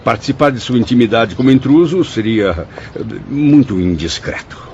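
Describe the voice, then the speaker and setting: A Brazilian actor playing the weary, courteous spirit of a dead writer in a radio drama, speaking formally and a little hesitantly. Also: male voice, medium pitch, animated, normal speed, background noise, close to an everyday voice. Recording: dull studio audio, faint music behind.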